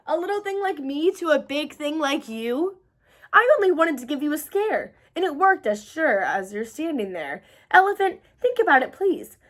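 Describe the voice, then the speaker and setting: A woman plays a tiny storybook mouse, bold and mischievous. It teases in a high, animated voice. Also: normal speed. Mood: teasing. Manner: teasing, mocking. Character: cheeky little gray mouse, mischievous and bold.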